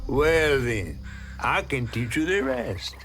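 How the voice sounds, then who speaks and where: A man's voice: a little high, very animated, takes it slowly, vocal fry, a bit bright, a little hiss or hum, full character voice. A man voicing a fussy, genteel talking creature from a video game, with a folksy, theatrical Southern American accent. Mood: folksy, instructive. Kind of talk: video game character dialogue.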